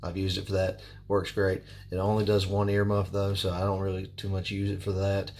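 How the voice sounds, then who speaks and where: A man giving a casual, unscripted product review in a halting, plainspoken and repetitive way. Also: low voice, monotone, darkish tone. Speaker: product reviewer and firearms instructor. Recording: clear background noise.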